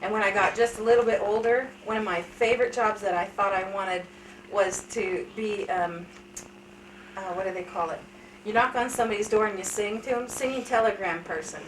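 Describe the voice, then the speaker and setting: A woman's voice: medium pitch, fairly even intonation, a little fast, distant mic. A woman in her thirties telling candid, funny stories about her life in a casual family interview. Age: 30s. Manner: chatty, candid storytelling with self-deprecating humor. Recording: echoey room, voice from off-mic, light hiss.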